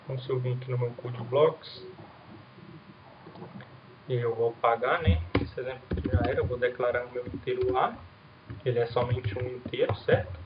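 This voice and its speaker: A man's voice: medium pitch, fairly even intonation, moderate pace, pauses often. A man in the middle of his range teaches beginner programming in an easy, conversational way, and he keeps checking in with his students as he walks through the code. His voice comes across roomy and a little dull, with a faint hiss underneath. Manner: casual explanatory, frequent "né?" and "certo?" checks. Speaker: programming instructor. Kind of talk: recorded coding lesson with screen demo.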